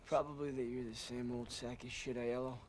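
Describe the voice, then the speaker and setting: A man's voice: mid-range voice, little pitch movement, a little fast, a little mellow, slight background noise, lightly acted. An actor playing a blunt soldier who teases and insults his comrades. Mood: scornful. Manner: insulting, teasing. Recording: game scene with battle ambience behind.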